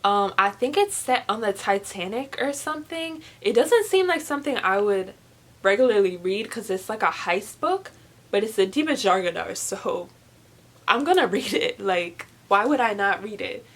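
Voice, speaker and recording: A young woman speaks in a chatty, informal way, as a book vlogger would. Her pitch is average for a woman, and she leans on words for emphasis as she gives her opinions. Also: animated, normal speed. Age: young adult. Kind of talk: casual book review chat to camera.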